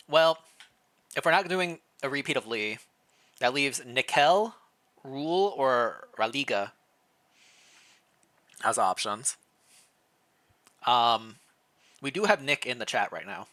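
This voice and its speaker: A man with a bright, punchy voice in a middle register hosts a casual livestream. He moves between story narration read aloud and playful, think-aloud chat. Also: mid-range voice, expressive, takes it slowly, pauses often. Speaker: streamer, host reading fan fiction.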